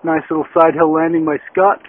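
A man's voice: on the high side, flat delivery, brisk, slight background noise. A middle-aged man filming himself on an open hillside with a phone held at arm's length, chatty and self-deprecating about his hike. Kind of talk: casual self-filmed vlog. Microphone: phone camera at arm's length. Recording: tinny lo-fi phone recording.

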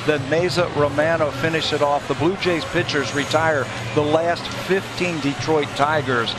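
A man working as color analyst on a baseball broadcast, breaking down the game in a measured, analytical way. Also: on the high side, fairly even intonation, very noisy.

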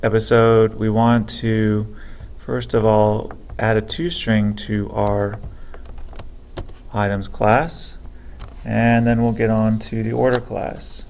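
A man's voice: fairly low pitch, flat delivery, a little mellow, slight background noise. A man walking through code step by step in a screencast lesson, thinking aloud as he types. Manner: slow, methodical, thinking aloud while typing. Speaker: programming teacher. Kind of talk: screencast coding tutorial. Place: at a computer, keyboard typing heard.

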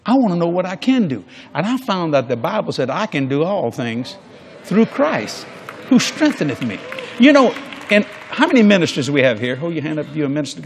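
A man's voice: on the high side, very animated, fairly quick, slight background noise. An older man preaching a sermon on a large church stage before a congregation, folksy and jokey, acting out quoted people in different voices.

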